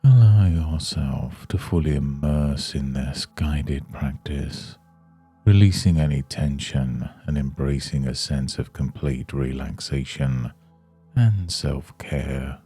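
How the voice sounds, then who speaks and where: A man guiding a yoga nidra meditation in a soothing, hushed, unhurried manner. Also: very deep voice, slightly rough, faint music behind. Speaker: meditation guide.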